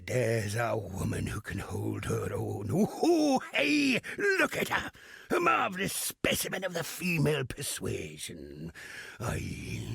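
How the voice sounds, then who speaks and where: A crusty old captain for a video game, roguish and grumbling. The voice is heavily gravelled and very hoarse. It swings widely in pitch through theatrical, salty sailor talk. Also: male voice, fairly bright tone, no echo, clean studio sound, exaggerated voice. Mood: lusty, wistful. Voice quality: gravelly, raspy. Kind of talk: video game character acting.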